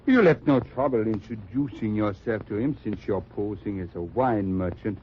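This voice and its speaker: A man's voice: lowish voice, moderate pace, lightly acted. Man playing an old underground leader, muffled vintage radio. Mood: conspiratorial. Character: old male German underground leader, cautious and helpful. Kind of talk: 1940s-50s radio drama acting. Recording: dull, noisy old radio recording.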